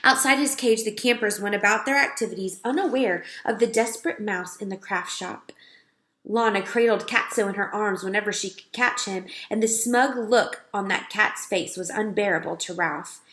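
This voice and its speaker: A woman reading a children's storybook aloud as narrator, with expressive, emphatic delivery. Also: medium pitch, normal speed. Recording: roomy, echoing sound.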